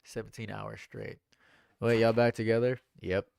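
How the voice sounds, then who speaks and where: A young man with a stuffed-up nose, reading chat comments on a livestream in a dry, deadpan way. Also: lowish voice, normal speed, gravelly, smooth. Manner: dry and deadpan, laughs at own jokes. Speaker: livestream host. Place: home room.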